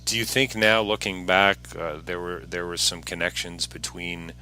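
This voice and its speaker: A man's voice: sits low, flat delivery, moderate pace, sharp tone, a little hiss or hum. A man hosting a casual interview podcast, talking in an earnest, encouraging way.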